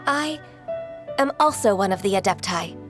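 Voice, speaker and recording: A woman voicing a gentle young female immortal adept in a video game, polite, formal and a little hesitant. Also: mid-range voice, lively intonation, normal speed, close to an everyday voice. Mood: earnest. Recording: music behind the voice.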